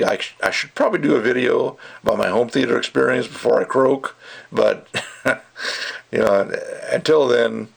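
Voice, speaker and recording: An older man with a gravelly, creaky voice giving a casual, rambling review in an opinionated, emphatic way. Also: fairly low pitch. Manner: opinionated, rambling, emphatic, acts out mocking quotes. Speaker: audio gear reviewer. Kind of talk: casual ranting video review.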